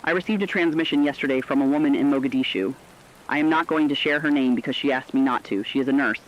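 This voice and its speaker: A man playing a somber, reflective radio host, relaying survivors' messages in a plain, solemn, deliberate monologue. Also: medium pitch, dull audio, natural voice. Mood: solemn, tender. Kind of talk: scripted intimate radio monologue.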